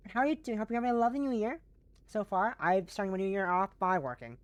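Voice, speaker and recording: Hoarse, stuffy-nosed woman streamer. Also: mid-range voice, quick pace, no room sound. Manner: chatty and warm to chat, frequent restarts. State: hoarse, voice going; stuffy blocked nose. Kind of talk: casual live-stream chat with viewers.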